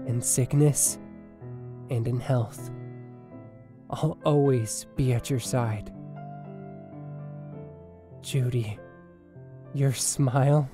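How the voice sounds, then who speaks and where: Man voicing a dreamy, slow-spoken lovestruck cartoon fox. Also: fairly high pitch, darkish tone. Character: tender, lovestruck male fox, dreamily awed groom. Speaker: voice actor in a comic dub. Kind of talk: dramatic comic dub monologue.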